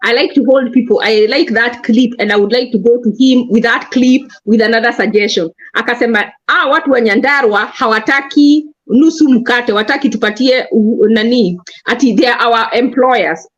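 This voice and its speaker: A woman's voice: fairly high pitch, normal speed, hardly any pauses, lo-fi sound. A Kenyan woman with a Kenyan accent, talking animatedly about politics and switching between Swahili and English. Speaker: panelist. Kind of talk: political discussion.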